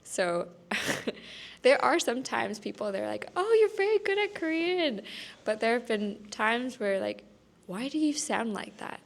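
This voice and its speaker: A young woman talks at a slow, unhurried pace, weighing her words. She sounds thoughtful in a casual panel chat. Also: fairly high pitch, sing-song, creaky. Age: young adult. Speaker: international student panelist. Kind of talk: casual discussion.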